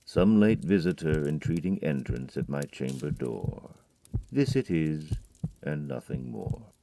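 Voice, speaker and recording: A man giving a brooding, measured dramatic reading of a poem, dropping to a hush on key words. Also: very deep voice, sing-song, vocal fry, noisy background. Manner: measured, brooding recitation with hushed drops. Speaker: narrator.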